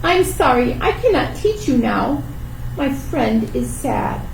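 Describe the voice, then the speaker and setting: A woman voicing a clumsy, earnest, easily frustrated storybook elephant in a drawn-out, plaintive way. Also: high-pitched, sing-song, creaky, heavily acted. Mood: sad. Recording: roomy, distant mic, faint noise.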